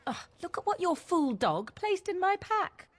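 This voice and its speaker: A woman's voice: very high voice, sing-song, moderate pace. Haughty, swooping-voiced female mage. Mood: disgusted, scornful. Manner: haughty, disdainful. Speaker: video game voice actor. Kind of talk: fantasy game dialogue.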